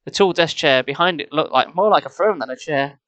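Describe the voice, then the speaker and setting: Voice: medium pitch, fairly quick, a bit bright. A storyteller reading a children's book expressively, slipping in casual, wry and mocking asides. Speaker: storyteller, live streamer.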